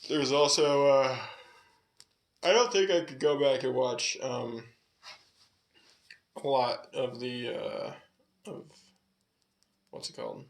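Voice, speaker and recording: A man's voice: medium pitch, steady tone, takes it slowly, long pauses. A man from California with a slight Southwestern tinge, co-hosting a podcast in rambling casual chat with lots of fillers and swearing. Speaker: podcast co-host.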